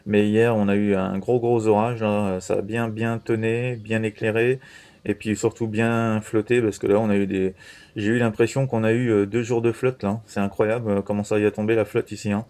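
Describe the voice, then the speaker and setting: A man chatting casually as an amateur radio operator, halting and repeating himself with frequent verbal tags. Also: on the low side, level tone, darkish tone. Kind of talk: casual CB radio contact chat.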